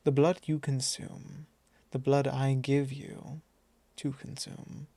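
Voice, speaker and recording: A man voicing a suave, possessive vampire lord in an intimate ASMR roleplay, measured, courtly and teasing. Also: mid-range voice, creaky, dark tone, dry recording.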